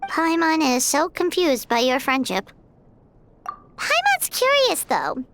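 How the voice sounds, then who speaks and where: A woman voicing a small, excitable, childlike fairy companion with a squeaky voice in a video game. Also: slow, noisy background, full character voice. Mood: puzzled, curious. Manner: speaks of self in third person, exclaims.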